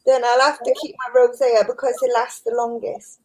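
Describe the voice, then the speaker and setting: A woman's voice: fairly high pitch, flat delivery, a little fast, darkish tone, rough recording. A woman in her thirties, a guest on a live stream, chatting casually and telling small stories. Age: 30s. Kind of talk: casual chat.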